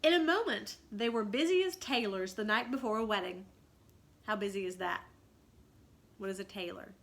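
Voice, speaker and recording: Bright-voiced woman reading aloud to children. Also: mid-range voice, lively intonation, moderate pace. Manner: explanatory, questioning. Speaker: read-aloud teacher. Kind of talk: expressive children's storybook narration with comprehension questions.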